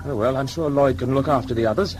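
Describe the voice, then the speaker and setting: A man's voice: medium pitch, fast talker, slight background noise, natural voice. A man acting in an audio drama as the governor of a convict crew, with a folksy, pompous, lecturing manner. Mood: stern, lecturing. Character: gruff male governor of a convict scavenger crew, musing and condescending. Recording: music under the dialogue.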